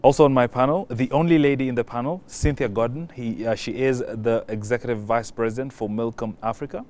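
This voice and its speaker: A man's voice: mid-range voice, fairly even intonation, brisk, darkish tone, a little hiss or hum. A man moderating a televised panel interview, introducing the guests formally with frequent fillers. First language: not French.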